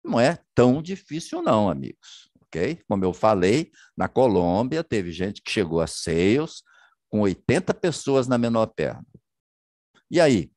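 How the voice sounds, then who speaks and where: A man presents slides over a video call, talking in a lively, swooping voice. He keeps asking rhetorical questions and checking in with his listeners. The sound is close and dry, with no room around it. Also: lowish voice, no echo. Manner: chatty, many checking tags and rhetorical questions. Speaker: business presenter. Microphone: computer mic on a video call.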